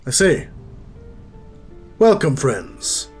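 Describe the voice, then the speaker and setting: A man playing an ancient, gentle male maker of life in an audio drama, speaking in a solemn, measured way. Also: mid-range voice, very animated, faint music behind.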